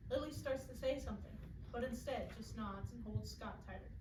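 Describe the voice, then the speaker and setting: A woman with a fairly high voice reads scripted stage directions. She is recorded from far off in an echoey room, with loud noise. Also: fairly quick. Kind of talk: scripted narration. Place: live indoor staged reading, far from mic.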